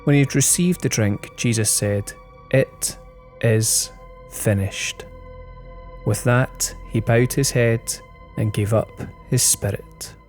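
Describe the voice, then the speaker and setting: Man, scripture reader, solemn, measured pacing, slow delivery, faint instrumental music. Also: mid-range voice. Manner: measured, deliberate phrasing. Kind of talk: slow, solemn Bible reading. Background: soft instrumental music.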